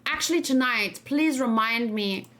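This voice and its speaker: A woman's voice: fairly high pitch, unhurried, fairly bright tone. A woman streaming casual game reaction and discussion, thinking aloud and giving her opinions to viewers. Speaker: gaming streamer.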